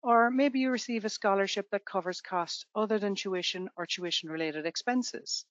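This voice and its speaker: A woman's voice: medium pitch, normal speed, no room sound, lo-fi sound. A woman from Ireland with an Irish accent, presenting a tax webinar to students in a conversational way with everyday examples.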